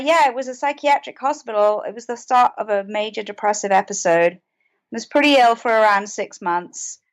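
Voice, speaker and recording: A middle-aged woman with a British accent, giving a conversational personal account as an interview guest. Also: medium pitch.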